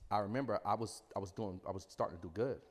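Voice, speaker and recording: A man's voice: on the low side, quick pace. A man telling his story in a conversational interview, emphatic and repeating phrases as he goes. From New York (the Bronx). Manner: emphatic, repeats phrases, checks in with "you know what I'm saying". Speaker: podcast guest, entrepreneur. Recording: reverberant hall-like room echo.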